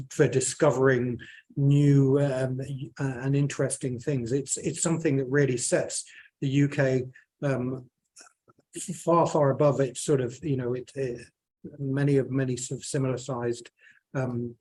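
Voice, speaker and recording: A man's voice: medium pitch, flat delivery, a little slow, darkish tone. A man, speaking at a panel as a plant scientist, hesitant and full of fillers and restarts. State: croaky voice. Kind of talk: unscripted panel remarks.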